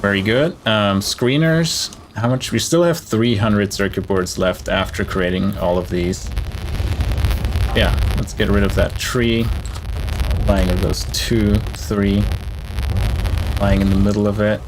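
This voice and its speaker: A man's voice: on the low side, steady tone, slow pace, background noise. A man giving casual live gameplay commentary, thinking aloud as he plays. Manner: thinking aloud while playing. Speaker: game streamer.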